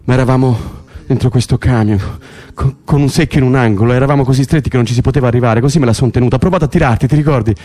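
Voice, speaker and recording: An actor playing an excitable, wry political prisoner in an Italian radio drama, stammering, repeating himself and swearing. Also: male voice, medium pitch, very fast, hardly any pauses, close to an everyday voice. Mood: wry, earthy. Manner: breathless repetitions, stammering, swearing. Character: excitable male political prisoner, agitated, wry, foul-mouthed. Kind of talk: Italian radio drama acting, conversational and intense.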